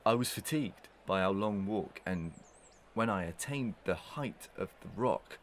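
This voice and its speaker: An actor playing a guilty, scheming villain in a Victorian audio melodrama, with formal, theatrical diction. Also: male voice, fairly low pitch, animated, a little slow, a little hiss or hum. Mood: defensive, evasive. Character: guilty male villain, scheming, evasive, inwardly tormented.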